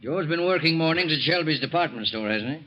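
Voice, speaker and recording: An actor playing a sceptical, curt police captain questioning people in a 1940s radio drama. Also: male voice, on the high side, lively intonation, fairly quick, lightly acted. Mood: blunt, probing. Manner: curt, interrogating. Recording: muffled old radio recording.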